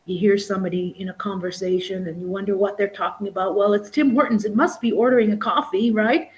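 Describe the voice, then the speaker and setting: A woman's voice: medium pitch, steady tone, fast, rough recording. A middle-aged woman and English teacher, chatting as a guest on a live stream over a video call, full of restarts and "you know". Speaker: guest, English teacher and exam prep tutor. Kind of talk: casual live-stream conversation.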